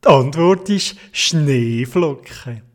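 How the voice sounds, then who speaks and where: A man's voice: on the high side, animated, slow. A man with a Swiss German accent playing a kind, sensible little boy who speaks encouragingly in a children's story. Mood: comforting, eager.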